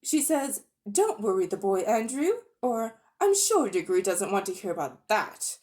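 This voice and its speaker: A woman voicing a miserable young boy in a storybook reading, talking in an upset, wound-up way, her voice raised higher than her narration. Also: very animated, moderate pace, darkish tone, lightly acted. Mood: secretive. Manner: upset, wound up, near tears.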